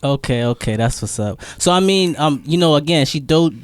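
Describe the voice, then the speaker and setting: Male American podcast host, fairly high pitch, informal slangy questioning, dry close sound. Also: expressive, moderate pace, clear, resonant tone, no echo. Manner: colloquial, informal, repeats words as he builds questions. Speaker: podcast interviewer. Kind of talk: casual interview chat, slangy.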